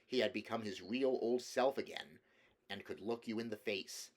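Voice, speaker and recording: Low-voiced man reading a storybook. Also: moderate pace. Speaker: audiobook reader. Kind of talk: scripted storybook narration.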